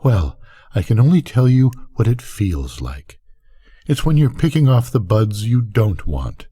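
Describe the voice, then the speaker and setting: Older man voicing an eager, intense farm woman, swooping pitch. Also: sing-song, measured pace, mellow, husky, heavily acted. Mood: passionate, intense. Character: eager, intense farm woman, passionate about her flowers. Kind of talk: storybook character reading.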